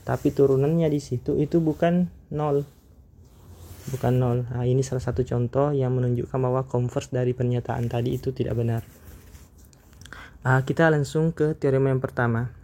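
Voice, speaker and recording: A man lectures on calculus, his voice warm and mellow, sitting at an ordinary middle pitch. He explains carefully and methodically, often pausing with small hesitation sounds before going on. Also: steady tone. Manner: measured, explanatory, frequent hesitation fillers. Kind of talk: recorded math lecture.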